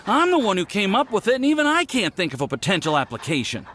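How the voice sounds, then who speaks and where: A man's voice: high voice, wildly swooping pitch, fast talker, fairly bright tone, slight background noise, full character voice. A man playing a nervous, insecure young alchemist in a video game, dramatic and prone to stammering. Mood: dejected. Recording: faint music behind the voice.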